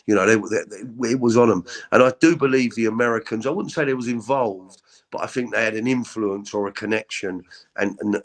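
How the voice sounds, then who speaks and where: A middle-aged man with a deep voice, telling his story as an interview guest in a halting, candid way with many repeats. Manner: halting, repeats words, frequent ums.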